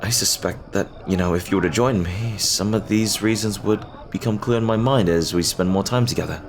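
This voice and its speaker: A man playing an earnest, lovestruck pirate captain in an ASMR roleplay, speaking haltingly and tenderly in formal, old-fashioned phrases. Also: deep voice, slight background noise. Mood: earnest, hesitant. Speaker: audio roleplay performer.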